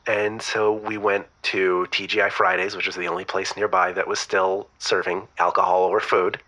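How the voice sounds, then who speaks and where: A man's voice: sits low, flat delivery, moderate pace, sharp tone, low-quality recording. A man playing an earnest, stubborn boyfriend calling a radio show in an audio comedy, hesitant but pedantically insistent. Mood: defensive. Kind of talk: scripted audio comedy drama.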